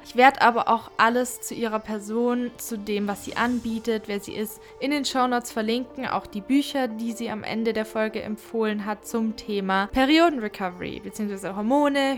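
A woman hosting a relaxed podcast interview, talking in a chatty, rambling way full of fillers. Her voice sits at a middle pitch, and faint music plays under her. The feel is informal and friendly in tone of address. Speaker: podcast host.

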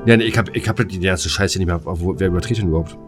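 Male co-host, lowish voice. Flippant, slangy casual chat over faint music. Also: quick pace. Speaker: podcast co-host.

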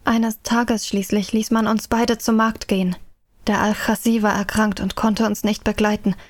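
A woman's voice: medium pitch, flat delivery, normal speed, no echo, natural voice. A woman playing an enslaved young woman in a radio play, recounting her captivity in a subdued way.

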